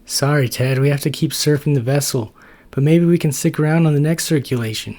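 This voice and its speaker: A man's voice: a little high, brisk, a little mellow, pitched above the performer's own voice, natural voice. A man voicing a cool, laid-back female neutrophil sailor with surfer slang. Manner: coolly upbeat, slangy.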